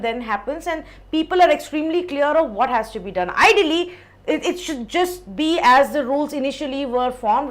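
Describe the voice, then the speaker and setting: A woman with a high voice and an Indian accent hosts an explainer show. She lays out points articulately in long, flowing sentences and puts questions to a guest. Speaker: host, interviewer.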